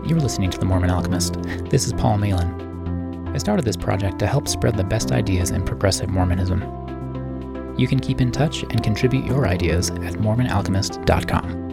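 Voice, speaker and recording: A man reads a scripted podcast introduction in a brisk, announcer-like way. His voice is low-pitched and gravelly. Loud music plays underneath his words. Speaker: podcast producer and narrator. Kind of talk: scripted narration.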